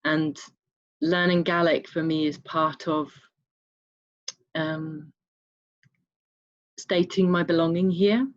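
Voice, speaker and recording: A woman with an English accent who grew up in Scotland, sharing in a halting, hesitant way on a video call. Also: lowish voice, lively intonation. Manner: halting, hesitant with many ums. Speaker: online summit participant. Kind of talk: reflective sharing in a group call. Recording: muffled video-call audio.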